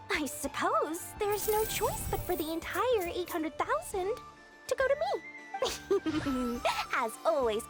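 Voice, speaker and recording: A sly, high-voiced greedy merchant. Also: female voice, full character voice. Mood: smug. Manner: salesy, wheedling, sly. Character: scheming greedy woman merchant, smooth talker. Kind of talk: video game voice acting. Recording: game music behind the voice.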